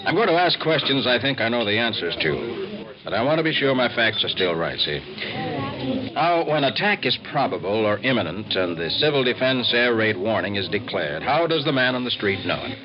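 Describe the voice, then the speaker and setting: An actor playing an earnest, driven American roving reporter, narrating in an emphatic, clipped 1950s radio drama style. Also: male voice, fairly low pitch, very animated, normal speed, crowd noise. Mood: brisk, probing. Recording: dull vintage radio recording, music behind.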